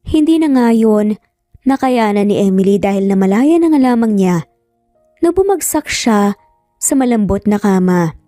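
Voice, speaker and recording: A woman's voice: mid-range voice, animated, moderate pace, long pauses, mellow, no room sound. A woman narrating a scripted romance story in a dramatic storytelling style. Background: music.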